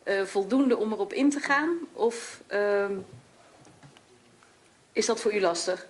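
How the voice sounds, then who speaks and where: A woman's voice: medium pitch, slow pace. A woman chairing a parliamentary session, firm but hesitating often.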